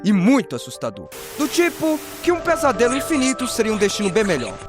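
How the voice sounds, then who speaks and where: A man's voice: high voice, huge pitch swings, fairly quick. A man with a Brazilian accent telling stories as a video host, dramatic and slangy with playful asides. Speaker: host of a horror recap channel. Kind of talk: dramatic scripted storytelling narration. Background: music.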